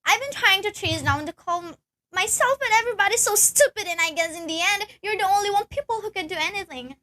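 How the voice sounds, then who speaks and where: A woman's voice: extremely high pitch, expressive, fast, pushed and bright, exaggerated voice, low-quality recording. A young woman acting as an anxious, demanding, easily exasperated married woman in an amateur radio play, insistent and flustered. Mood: flustered, nervous.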